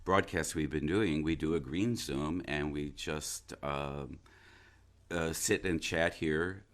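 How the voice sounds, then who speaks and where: A man who is an actor, chatting reflectively in a halting way, repeating words and restarting sentences. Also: rumbling low. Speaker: stage and radio actor. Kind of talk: casual reflective conversation.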